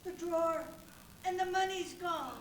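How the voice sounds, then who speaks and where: A woman playing a frightened vicar's wife in a live radio play, urgent and hushed. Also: very high-pitched, unhurried. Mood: alarmed, hushed. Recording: noisy, echoey live stage, distant mic.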